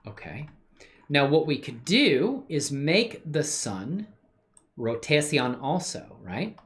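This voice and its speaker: A woman's voice: sits low, sing-song, takes it slowly. A woman hosting a casual live animation tutorial, thinking aloud and chatting in an upbeat way with viewers she calls man and bro.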